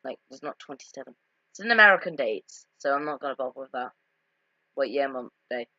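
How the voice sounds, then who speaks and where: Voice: mid-range voice, lively intonation, a little fast, pushed and bright, dry recording. Casual, hesitant game commentator, slightly dull mic. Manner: hesitant, self-correcting, self-deprecating. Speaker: video creator commentating on game cutscenes.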